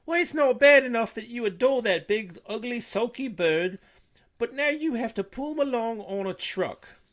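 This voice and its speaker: A man voicing an exasperated housewife and mother in a storybook reading, shrill, indignant and full of complaint. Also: animated, normal speed, fairly bright tone. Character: exasperated, scolding housewife and mother, wailing about the bird.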